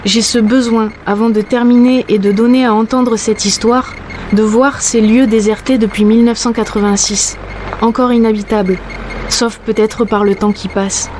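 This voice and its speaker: A woman's voice: on the high side, fairly even intonation, moderate pace, background noise. Reflective woman narrating in first person. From southern France. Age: late 30s. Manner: intimate, reflective.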